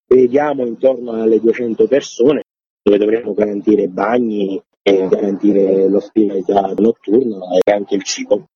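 A man's voice: on the low side, husky, dry recording. A man giving informal, explanatory interview answers as an organizer, talking in long, loosely linked sentences. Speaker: interviewed organizer of a farmers' gathering. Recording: dull, muffled lo-fi radio sound.

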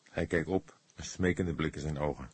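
A man reading a crime novel as an expressive audiobook narrator. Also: rumbling low, normal speed, husky.

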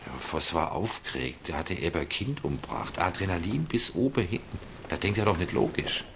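An actor playing a brooding detective in a radio play, narrating and talking through a case. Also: male voice, deep voice, lively intonation, moderate pace, background noise. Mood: pondering. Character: brooding male detective reviewing a murder case.

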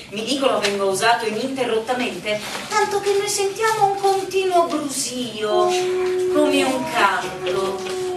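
An echoey, distant recording of a condescending bureaucrat in a radio play. Her high voice is formal and precise, brushing off the petitioner. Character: brisk, condescending village bureaucrat belittling a petitioner. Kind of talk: stage-style radio drama acting. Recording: noisy echoey room, far from mic.

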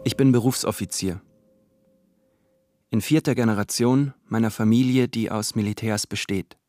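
Man playing stoic army officer, male, low pitch, measured formal diction, restrained grief, radio drama monologue, dry studio sound. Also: fairly even intonation, darkish tone, dry recording, faint music behind, lightly acted. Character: reserved career army officer, grieving his secret male lover.

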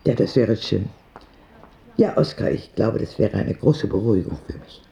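Radio drama role: kindly elderly female confidante, played by a man, gravelly, soothing, plain-spoken. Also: fairly low pitch, lively intonation, moderate pace, mellow. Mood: tender. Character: kindly old woman, comforting confidante of a sick boy. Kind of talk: intimate radio drama dialogue.

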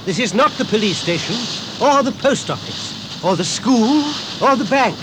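Fussed homeowner in an english lesson skit, super lively pitch, careful words, noisy tape. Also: male voice. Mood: exasperated. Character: fussy, easily exasperated male householder, Mister Mooney. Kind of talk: scripted English-lesson radio sketch. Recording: noisy old tape recording.

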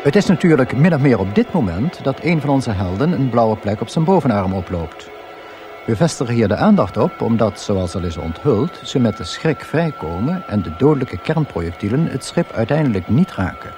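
An actor playing the dryly reassuring narrator of a space radio play, with wry formality. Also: male voice, medium pitch, very animated, normal speed, lightly acted. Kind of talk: scripted narration. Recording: music behind the narration.

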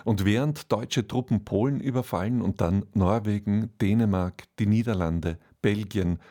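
Casting: male radio presenter for scripted cultural introductions, lowish pitch with a husky edge. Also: darkish tone.

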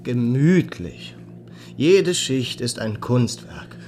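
Radio drama prisoner: wryly unbothered worker, unhurried, deliberate, slight noise behind. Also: male voice, mid-range voice, lively intonation, a little mellow. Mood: wry, calm. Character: stoical male camp cellar worker, wryly unbothered.

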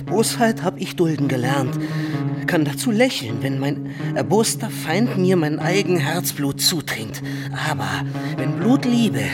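Betrayed young noble robber chief, slow declamatory radio drama delivery, big music behind. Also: male voice, high voice. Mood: bitter anguish. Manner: impassioned, declamatory, theatrical. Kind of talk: German radio play acting.

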